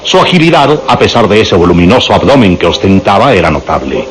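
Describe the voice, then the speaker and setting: A man's voice: lowish voice, very animated, a little fast. A man narrating a radio drama from a script in a dramatic style. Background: music.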